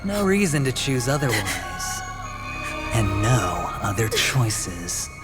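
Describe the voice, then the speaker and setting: Slow, theatrical, sly gambler. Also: male voice, medium pitch, sing-song. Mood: teasing, ominous. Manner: smooth, teasing, theatrical, with deliberate pauses. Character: sly, theatrical male gambler, smugly cordial and scheming. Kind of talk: video game voice acting. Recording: loud game music behind the voice. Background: loud music.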